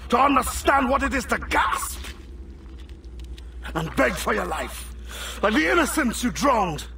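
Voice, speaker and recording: High, forceful vengeful assassin. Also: male voice, sing-song, unhurried, creaky, recorded from a distance, slight background noise, heavily acted. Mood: cold fury. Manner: intense, contemptuous, formal phrasing. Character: vengeful assassin confronting a slave-owning tyrant. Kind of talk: cinematic video game acting.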